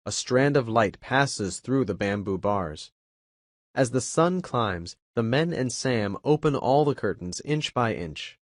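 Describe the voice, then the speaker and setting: Calm male storyteller, dry sound. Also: medium pitch, no room sound. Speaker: English teacher reading a story. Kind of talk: storybook read-aloud.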